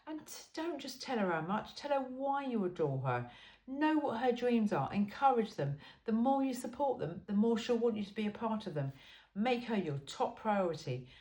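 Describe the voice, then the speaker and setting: A woman's voice: fairly high pitch, sing-song, moderate pace, darkish tone. A woman reading a self-help book aloud in an informal, blunt and emphatic way.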